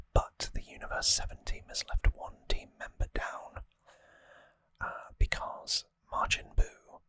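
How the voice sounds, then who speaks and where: Whispering ASMR host, very close and dry. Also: no echo. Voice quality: breathy unvoiced whisper. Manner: soft, informal explaining. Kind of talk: whispered ASMR episode recap. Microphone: close microphone, dry sound.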